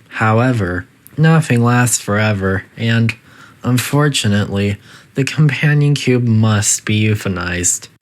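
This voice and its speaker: A man's voice: lowish voice, natural voice. A man voicing a coolly polite, faintly menacing facility AI that gives formal, detached test-chamber announcements in a video game.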